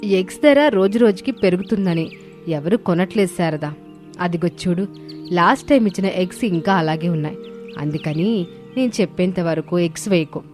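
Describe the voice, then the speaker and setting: A woman voicing a firm, blunt female grocery shopkeeper in an animated moral story, speaking matter-of-factly. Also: on the low side, moderate pace, faint music behind, close to an everyday voice. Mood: blunt refusal.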